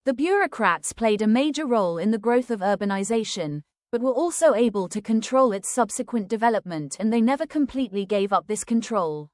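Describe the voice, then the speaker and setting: A woman's voice: a little high, lively intonation, brisk, few pauses, no echo, studio quality. A woman reading a prepared practice passage aloud in a scripted narration style. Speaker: reader of exam practice passages.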